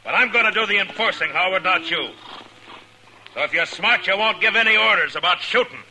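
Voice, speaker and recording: An actor playing a steady, stern frontier US marshal, calm and firm in a 1950s radio drama. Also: male voice, high voice, fairly quick, slight background noise, heavily acted, poor audio. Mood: commanding. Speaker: lawman.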